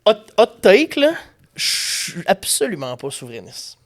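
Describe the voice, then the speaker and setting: A man's voice: high voice, huge pitch swings, unhurried. A young man with a Québécois French accent, co-hosting a casual comedy podcast, joking and laughing often. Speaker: podcast co-host.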